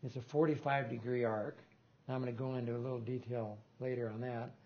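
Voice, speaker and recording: A man's voice: medium pitch, fairly even intonation, a little fast, highs cut off. An older man giving an informal technical talk in a lecture room, rambling and correcting himself with small chuckles. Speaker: guest lecturer on loudspeaker design.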